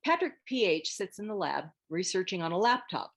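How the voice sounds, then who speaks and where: A woman's voice: lowish voice, big pitch swings, fairly quick. A woman narrating scripted stage directions for a screenplay reading.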